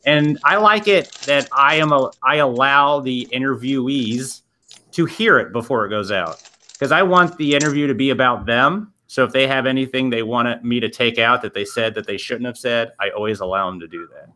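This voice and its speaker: A man's voice: medium pitch, normal speed, sharp tone. A man who hosts a podcast, chatting casually and a bit self-deprecatingly on a video call. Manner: rambling, self-deprecating, restarts often. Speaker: podcast host and editor. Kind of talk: casual interview chat.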